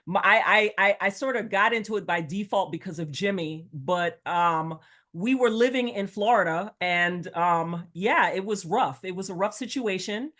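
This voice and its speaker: A middle-aged woman who was born in Jamaica and grew up in the United States. She talks as a real estate coach on a video call. She is chatty and rambling, and her voice sits at an ordinary mid pitch. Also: normal speed, fairly bright tone. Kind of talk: casual webinar talk.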